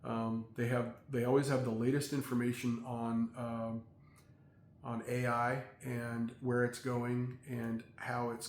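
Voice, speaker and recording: A middle-aged man talking in a casual, rambling monologue, halting with many fillers and restarts. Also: medium pitch, slight background noise. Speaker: vlogger. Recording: echoey room, faint ambience.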